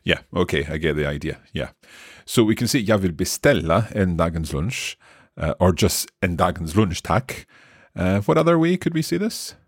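A man's voice: sits low, very animated, moderate pace, slightly rough, no room sound, clean studio sound. A man hosting a language lesson as a learner, talking hesitantly with many restarts and asking curious questions. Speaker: language podcast host, learner. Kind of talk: casual language lesson chat.

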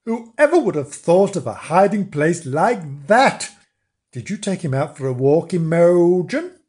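A man's voice: high-pitched, big pitch swings, unhurried, darkish tone, voice pushed up. Man as an exasperated, exclaiming storybook dog. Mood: astonished. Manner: scolding, exclamatory.

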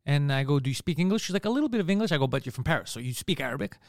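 Brief: comedian guest, Montreal Greek accent, rapid animated chat, dry close sound. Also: male voice, fairly high pitch, gravelly, no echo. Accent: Montreal Greek (Park Extension). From Montreal, Canada. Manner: animated, swears freely, quick restarts. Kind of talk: casual podcast chat.

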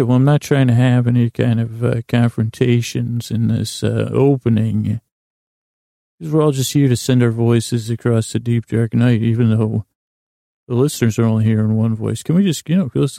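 A male podcast host with a soft, dark tone and a gravelly fry rambles through an improvised bedtime monologue. His pitch stays in a narrow band as he halts, corrects himself and wanders off into self-deprecating asides. The sound is close and dry. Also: no echo. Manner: halting and meandering, trailing off into tangents and self-corrections.

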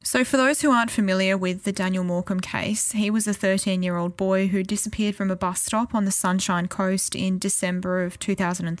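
A woman's voice: mid-range voice, no room sound, studio quality. A woman reporting on a podcast in scripted, explanatory narration.

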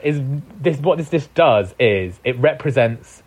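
A man's voice: mid-range voice, animated, measured pace, slightly rough. A man, guest on a food podcast, talking about his cookbook in a reflective, searching way with frequent fillers. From Britain. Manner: thoughtful, searching for words, many fillers. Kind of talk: reflective conversational interview.

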